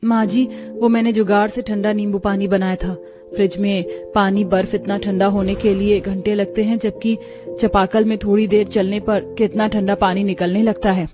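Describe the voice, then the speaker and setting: A woman's voice: medium pitch, level tone, moderate pace, music in the background, lightly acted. A woman voicing a dutiful, respectful young daughter-in-law in a family story. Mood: caring, earnest.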